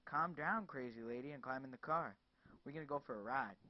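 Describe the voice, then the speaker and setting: A man's voice: mid-range voice, fairly quick, a little hiss or hum, natural voice. A man voicing a crude young male street thug with a taunting, leering manner. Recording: dull lo-fi dry recording.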